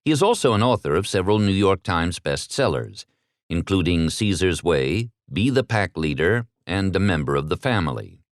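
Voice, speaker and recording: A man's voice: deep voice, very animated, normal speed, no echo, clean studio sound. A man with an American accent delivering scripted introductions and interview questions in a polished, formal announcer manner. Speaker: interviewer, audiobook narrator.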